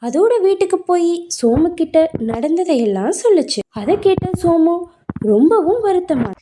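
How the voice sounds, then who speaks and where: A woman's voice: very high voice, very animated, moderate pace, background noise. A woman narrating a children's cartoon story as a storyteller.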